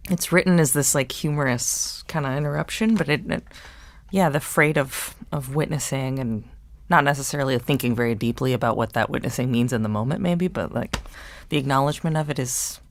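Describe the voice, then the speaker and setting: A woman's voice: very deep voice, fairly quick, dry recording. A woman actor and playwright on a panel, talking casually and reflectively, tentative as she searches for words.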